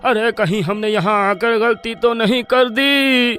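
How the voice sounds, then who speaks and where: A man acts a frightened villager in a horror comedy tale. The voice is extremely high and slow, whining and panicky. Faint music runs underneath. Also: fairly even intonation, sharp tone, full character voice. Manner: panicky, wailing, drawn-out exclamations.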